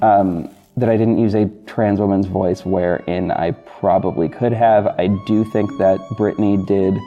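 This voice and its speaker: A man hosting a podcast, talking casually and apologetically to listeners in a halting, self-correcting, rambling way. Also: on the low side. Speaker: podcast host and audio drama creator. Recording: dull lo-fi recording with music bed. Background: soft guitar backing music.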